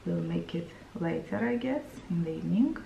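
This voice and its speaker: A woman in her thirties from Moldova, speaking English with a Romanian accent, chatting to camera while painting her face, often pausing for words. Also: lowish voice, animated, vocal fry, reverberant, highs cut off. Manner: chatty, searching for words, with fillers. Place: small room indoors.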